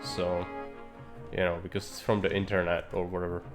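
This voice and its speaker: A man's voice: sits low, monotone, normal speed, music in the background. A man streaming live, thinking aloud in dry, blunt remarks as he plans a city build. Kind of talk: live gameplay commentary.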